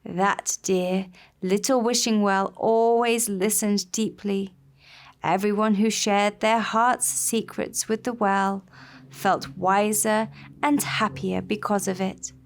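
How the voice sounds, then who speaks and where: A woman voicing a kindly grandmother who gently reminisces to her granddaughter in a storybook tale. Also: medium pitch, fairly even intonation, slow. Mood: tender, reminiscing.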